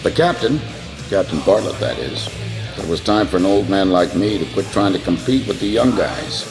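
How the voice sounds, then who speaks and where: Wry old pilot over guitar. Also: male voice, low-pitched, expressive, moderate pace. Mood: wry, modest. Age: older. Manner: wry, self-deprecating. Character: modest, good-humored old ex-fighter pilot. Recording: guitar music behind the voice.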